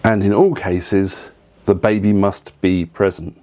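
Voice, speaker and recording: Guy vlogging travel tips for parents, lowish voice, chatty and opinionated, lots of ums. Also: steady tone, slow pace, darkish tone. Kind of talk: casual advice talk to camera.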